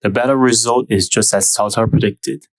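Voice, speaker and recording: Young Taiwanese-accented male host, lowish voice, dry close mic, measured scripted history narration. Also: steady tone, dry recording. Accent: Taiwanese Mandarin. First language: Mandarin. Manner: careful, measured explaining with non-native phrasing.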